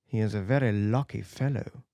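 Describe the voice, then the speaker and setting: A man's voice: fairly low pitch, creaky, warm tone, no echo, studio quality. A man voicing an earnest, wounded painter. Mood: calm. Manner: earnest, measured, grave. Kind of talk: audiobook character reading.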